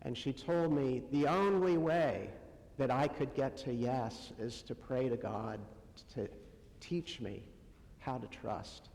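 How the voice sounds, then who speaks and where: A man preaching in a conversational style, with a halting delivery that pauses often between short phrases. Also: mid-range voice, darkish tone. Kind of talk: conversational sermon. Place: church sanctuary, amplified to a congregation. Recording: long hall reverb.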